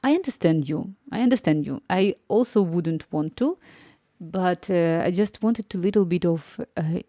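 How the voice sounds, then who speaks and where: A woman's voice: fairly low pitch, expressive, normal speed, warm tone, no room sound, clean studio sound. A woman in her thirties chatting casually on a live stream, rambling and laughing often. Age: 30s.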